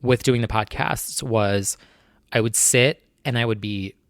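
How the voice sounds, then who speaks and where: A man's voice: on the low side, steady tone, a little slow, no room sound, clean studio sound. A young man hosting his own podcast, talking in a casual, anxious, rambling way full of fillers and restarts. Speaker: podcast host. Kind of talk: casual solo chat.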